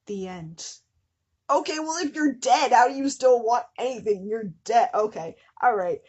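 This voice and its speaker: Husky woman doing a comedic dramatic reading. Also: fairly high pitch, expressive, moderate pace, a little mellow. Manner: breaks into giggles and incredulous commentary. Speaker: host of a comedic fan fiction reading show. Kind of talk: dramatic reading with joking asides.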